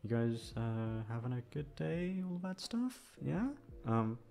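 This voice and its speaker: A man's voice: medium pitch, expressive, unhurried, vocal fry. A man chatting casually to his listeners in a hesitant, rambling, self-deprecating way, swearing freely, a little back from the microphone. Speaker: ASMR voice actor and channel host. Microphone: microphone, sitting a little back from it. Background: soft guitar music.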